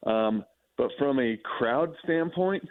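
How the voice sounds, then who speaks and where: A man's voice: mid-range voice, animated, slow pace, dry recording. An American man, a sports anchor as a radio guest, giving effusive conversational analysis over a phone-like line, often rephrasing as he goes.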